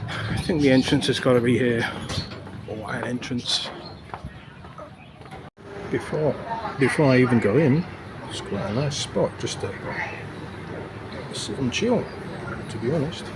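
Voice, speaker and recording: A man talks his way along city streets and park paths, rambling and chatty, short of breath from the walk. His voice sits at an ordinary pitch. The outdoor recording has steady traffic and city noise clearly underneath. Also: a little slow, long pauses. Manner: rambling, chatty, with frequent fillers. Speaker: travel vlogger. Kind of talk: casual walking commentary. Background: traffic and city ambience.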